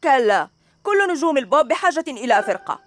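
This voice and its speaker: A woman voicing a vain, gloating boy ninja villain in a cartoon dub, with a high, mocking voice. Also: big pitch swings, fast talker, a bit bright, slight background noise. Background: music score.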